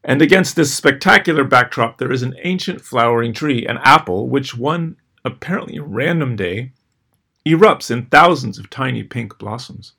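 A middle-aged man reading scripted personal stories as host, with a dry, wry, measured delivery and deliberate pauses. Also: medium pitch, gravelly, a bit bright, breathy edge. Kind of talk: scripted first-person storytelling.

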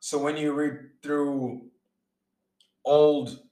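A man's voice: fairly high pitch, level tone, very slow, dark tone, reverberant, rough recording. A man giving a sermon-style teaching in an earnest way, often repeating words and restarting as he builds his points. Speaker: preacher.

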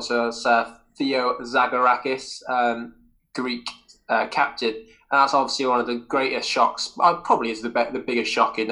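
A man's voice: mid-range voice, bright, forceful tone, poor audio. A man hosting a football podcast, talking casually and enthusiastically through detailed football memories in a rambling way. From Wales. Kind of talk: casual sports chat.

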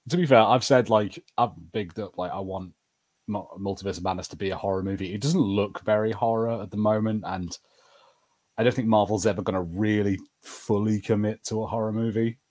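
A man's voice: fairly low pitch, steady tone, fairly quick, a little mellow. A man co-hosting a podcast in casual, bantering conversation about pop culture. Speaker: podcast host.

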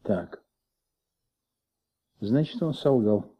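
An actor in a Soviet radio play playing a patient, shrewd middle-aged police commissaire with flu, questioning gently in a husky, creaky voice. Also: male voice, medium pitch, expressive, very slow, highs cut off, heavily acted. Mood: gently probing. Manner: gentle, coaxing, paternal questioning.

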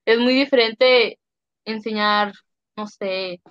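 A woman's voice: on the high side, fairly even intonation, slow, no room sound, muffled recording. A young Mexican woman co-hosting a casual chat podcast, rambling, slangy, restarting and trailing off mid-thought. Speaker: podcast co-host.

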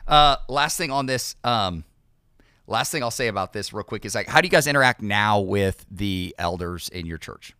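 A man's voice: fairly low pitch, expressive, normal speed, pushed and bright, clear, resonant tone, no echo, clean studio sound. A male podcast host and pastor in casual conversation, encouraging listeners in a sermon-like cadence.

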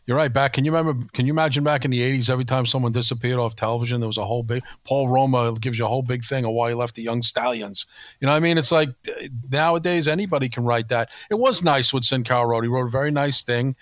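A man of middle pitch talking quickly and with emphasis as a podcast co-host. His voice sounds dry and close to the mic, with no room around it. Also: a bit bright, no echo. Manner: rapid, emphatic, repeats words when worked up. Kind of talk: casual opinionated chat.

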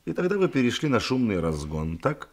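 An actor playing a shrewd, composed police detective in a radio drama, deliberate, commanding and dryly ironic. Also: male voice, medium pitch, big pitch swings, darkish tone. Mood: methodical, pressing.

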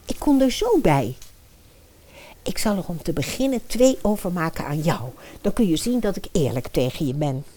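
A woman's voice: on the low side, sing-song, moderate pace, warm tone. A woman playing a cold, scheming, murderous stepmother in a fairy-tale radio play, calculating and persuasive as she gives instructions.

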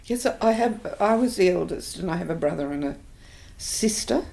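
An older woman with an Australian accent, thoughtfully recalling her life in an interview, pausing often. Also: lowish voice, expressive, gravelly, warm tone. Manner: reflective, hesitant with many fillers. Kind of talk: oral history interview.

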